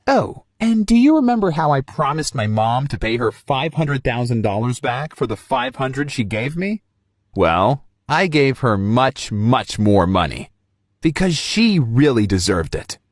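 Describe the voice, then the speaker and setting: Animated man narrating a story. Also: mid-range voice, measured pace, no room sound. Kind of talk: scripted first-person story narration.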